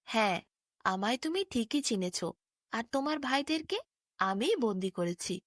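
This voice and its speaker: A woman's voice: a little high, expressive, measured pace, no room sound, studio quality. A woman voicing a wicked, menacing male water demon in a taunting, commanding way for a bedtime fairy tale. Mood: gloating.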